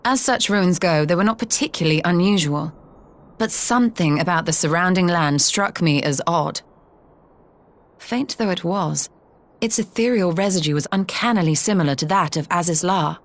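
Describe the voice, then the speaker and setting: A woman's voice: lowish voice, normal speed, natural voice. A woman playing a cool, scholarly sage in a fantasy game, precise, formal and matter-of-fact. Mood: analytical. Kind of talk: scripted fantasy game acting.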